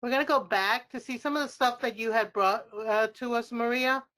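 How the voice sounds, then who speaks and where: A woman chats informally as the co-presenter of a webinar over a video call. Her voice is slightly high and relaxed in delivery. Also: fairly quick. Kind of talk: casual webinar talk.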